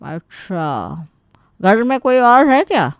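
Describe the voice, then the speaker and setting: A woman's voice: fairly high pitch, big pitch swings, slow, no room sound, exaggerated voice. A woman voicing a shameless, bossy aunt in a Hindi comic story, with a sarcastic, theatrical manner. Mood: shrewd. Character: brash, shameless, gutka-chewing Indian aunt, bossy and theatrical.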